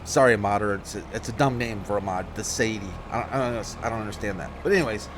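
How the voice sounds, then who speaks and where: A man's voice: fairly low pitch, fast talker, background noise. A man giving casual let's-play commentary on a farming game, thinking aloud, rambling and often correcting himself mid-sentence.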